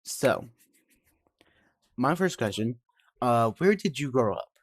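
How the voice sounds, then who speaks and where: A man's voice: medium pitch, sing-song, slow pace, dry recording, clean studio sound. Man chatting on a podcast. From Georgia, United States. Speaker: podcast co-host asking questions. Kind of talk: casual chat.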